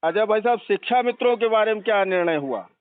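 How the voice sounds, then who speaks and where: A man's voice: high-pitched, animated, fast talker, clean tone, no echo. A middle-aged man speaking respectfully and earnestly, pleading his case as a caller in an informal phone conversation. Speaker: contract school teacher, caller. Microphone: telephone. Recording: muffled recorded phone call.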